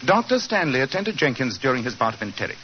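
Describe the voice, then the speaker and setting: A man's voice: mid-range voice, very animated, fast, natural voice. A man playing an incisive, coolly superior British detective in a precise, clipped 1940s radio drama style. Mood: coolly deductive. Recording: dull, noisy 1940s radio recording.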